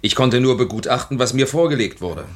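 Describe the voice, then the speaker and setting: A man's voice: medium pitch, expressive, a little fast, close to an everyday voice. An actor playing a courteous, guarded male forensic chemist in a German crime radio drama, with roomy, noisy scene sound.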